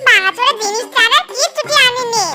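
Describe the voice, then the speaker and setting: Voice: very high-pitched, a little fast. A performer voicing a gushing, envious younger fox wife in a comic cartoon, sweet-talking with exclamations. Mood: envious admiring. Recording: children's cartoon music behind the voice.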